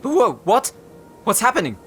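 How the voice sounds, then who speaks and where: A man's voice: very high-pitched, very animated, normal speed, music in the background. A man playing an eager, nervous tinkerer who panics easily, in an audio drama. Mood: panicked. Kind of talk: anime audio roleplay acting.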